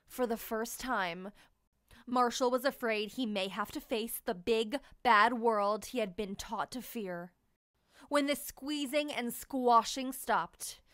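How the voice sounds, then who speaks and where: A woman reading a children's storybook aloud as host, in expressive scripted narration with dramatic, drawn-out emphasis on key words. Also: fairly high pitch, no room sound, clean studio sound.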